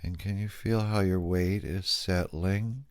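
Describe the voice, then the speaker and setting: A man leading a guided meditation, speaking calmly and gently, asking soft questions with long pauses. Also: deep voice, smooth, no room sound, clean studio sound.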